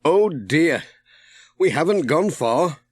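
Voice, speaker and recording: A mild, good-natured postman voiced by a male reader who frets plaintively in a children's audiobook. The voice sits a little high and swings widely in pitch, recorded very dry. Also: lively intonation, takes it slowly, breathy edge, dry recording, full character voice. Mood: rueful. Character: Pat, mild, worried postman, wary of water.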